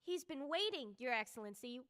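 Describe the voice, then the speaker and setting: A woman's voice: sits high, sing-song, a little fast, fairly bright tone, clean tone. A woman playing a timid, obedient orderly in a radio drama, speaking in a nervous, deferential way. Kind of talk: old-time radio drama acting.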